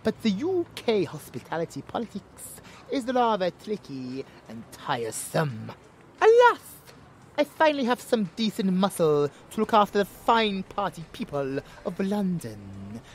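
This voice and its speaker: Casting: smug, genteel vampire club owner; theatrical, unhurried, hugely swooping delivery, noisy ambience behind. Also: male voice, high-pitched, big pitch swings, full character voice. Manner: theatrical, purring, condescending. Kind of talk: audio drama acting.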